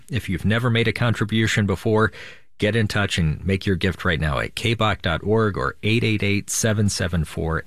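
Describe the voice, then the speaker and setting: A man's voice: low-pitched, dry recording. Upbeat man radio host, dry studio. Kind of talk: public radio pledge drive appeal. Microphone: studio microphone.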